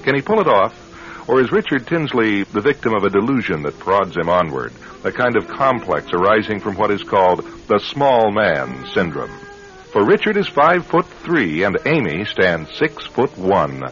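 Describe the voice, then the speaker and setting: A man with a low, very animated voice narrates a radio drama as its host. His delivery is theatrical and suspenseful, over music, on a slightly muffled recording. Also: normal speed.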